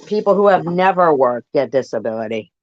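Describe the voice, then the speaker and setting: A woman's voice: deep voice, expressive, fairly quick, a little mellow, dry recording, lo-fi sound. A woman giving blunt, repetitive, sweary off-the-cuff commentary on a live stream. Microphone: phone on a live stream.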